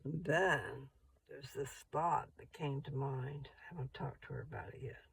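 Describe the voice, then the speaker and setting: An older woman with a rough, gravelly voice, rambling slowly and chattily as she vlogs from her parked car. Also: rumbling low, no echo. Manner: meandering, slow, chatty. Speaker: vlogger. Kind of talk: rambling casual vlog.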